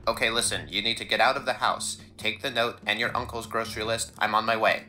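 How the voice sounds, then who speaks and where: A man's voice: on the low side, fairly even intonation, quick pace, music in the background, natural voice. A man playing a firm, commanding police detective in an audio drama. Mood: urgent. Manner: firm, authoritative.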